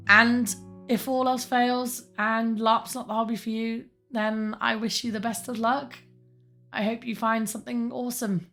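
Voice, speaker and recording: A woman with a croaky voice, giving rambling, chatty advice to her viewers with self-mocking asides. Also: medium pitch, fairly bright tone. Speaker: larp advice host. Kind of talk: casual advice monologue.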